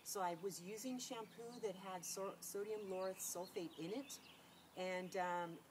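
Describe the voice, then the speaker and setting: A woman's voice: mid-range voice, unhurried. A middle-aged woman, nasal from a cold, chatting casually to camera and rambling with many fillers and restarts. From California. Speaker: beauty vlogger. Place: outdoors against a sunlit wall. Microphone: camera on a tripod. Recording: noisy outdoor camera audio.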